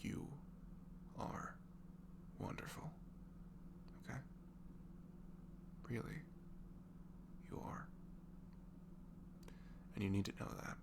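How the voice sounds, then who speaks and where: A young man softly leaving an intimate, improvised comfort message in ASMR style, tender and reassuring, murmuring and often slipping into a breathy whisper. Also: slow pace, long pauses. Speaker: amateur audio performer playing a comforting friend. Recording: clearly noisy home recording with hum.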